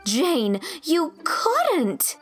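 A woman's voice: a little high, moderate pace, faint music behind, lightly acted. A woman voicing an earnest, idealistic young female teacher in an audiobook, speaking emphatically and pleadingly. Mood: shocked. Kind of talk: audiobook character dialogue.

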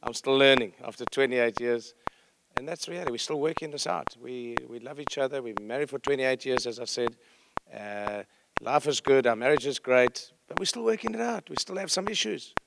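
Middle-aged man, South African accent, guest preacher, self-deprecating humor, conversational sermon, average pitch, noticeable background noise. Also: fairly quick, vocal fry. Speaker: visiting pastor, guest speaker. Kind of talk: informal sermon to a congregation. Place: church service, speaking to congregation.

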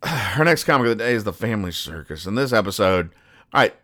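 A man hosting a podcast, reading out and explaining comic strips in a deadpan, mock-serious manner. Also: fairly low pitch, quick pace, no echo, studio quality. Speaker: podcast host.

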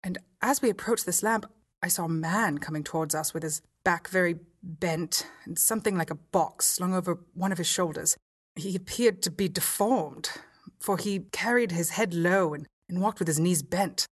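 A woman's voice: lowish voice, moderate pace, husky. A woman voicing a timid but shrewd young lady earnestly recounting what she saw. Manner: earnest, confiding.

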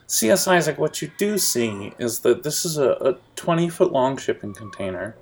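Gravelly young man running the game. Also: mid-range voice, big pitch swings, normal speed. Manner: rambling, jokey descriptions with many fillers. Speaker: game master. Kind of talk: narrating a tabletop game.

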